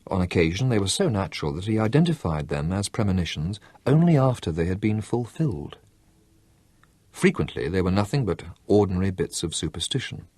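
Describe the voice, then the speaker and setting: A man narrating a novel as an audiobook, in a measured, expressive storytelling manner. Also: low voice, no room sound. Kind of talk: scripted narration.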